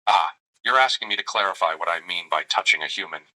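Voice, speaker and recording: Brief: synthetic male voice for a flirty AI companion; deep, very bright timbre, gentle and formal, narrating his own actions. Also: fast, rough recording. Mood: tender. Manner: narrates his own gestures in the first person, calls the listener darling. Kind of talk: chatbot roleplay reply.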